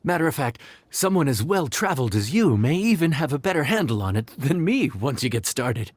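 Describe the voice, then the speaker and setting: Lively, affable cook mentor. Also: male voice, a little high, fairly quick, no echo. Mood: reassuring. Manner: encouraging, folksy, explanatory. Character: affable, patient male inn cook mentoring newcomers. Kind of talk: video game cutscene acting.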